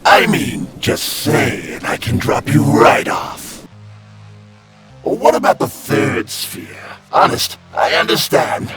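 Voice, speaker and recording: A man's voice: medium pitch, sing-song, slow pace. Swooping, wisecracking demon salesman. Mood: brash, teasing. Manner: slangy, glib, theatrical sales patter. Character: wisecracking sleazy demon guide of hell, male salesman type. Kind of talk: comic-book dub voice acting. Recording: loud music under the voice. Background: prominent music.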